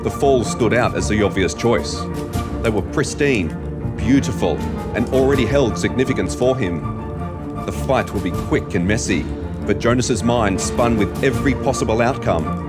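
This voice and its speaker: Male story narrator, lowish pitch, measured and deliberate delivery over music. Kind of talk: scripted dramatic story narration.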